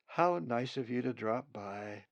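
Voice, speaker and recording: A man's voice: medium pitch, lively intonation, unhurried, vocal fry, lo-fi sound. A man voicing a weary mother raven in a storybook, mildly calling out to her brood. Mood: pleased, calling.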